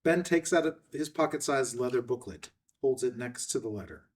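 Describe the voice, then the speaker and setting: A man's voice: medium pitch, lively intonation, normal speed, recorded from a distance. A man reading stage directions as narrator at a screenplay table read.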